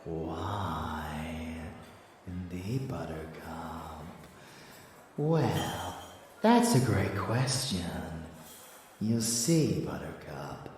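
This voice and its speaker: A man's voice: low-pitched, animated, very slow, darkish tone, very echoey, distant mic, slight background noise, exaggerated voice. A man playing a rebellious vampire lover in an intimate ASMR audio drama, teasing, boastful and doting, with menace underneath.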